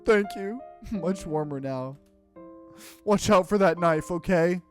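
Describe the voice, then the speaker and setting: A man's voice: high-pitched, slow pace, soft background music, pitched above the performer's own voice. Man doing a frail, pleading dying man. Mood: weak, grateful. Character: frail, freezing, dying man, gentle and resigned. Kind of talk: dramatic reading of game dialogue.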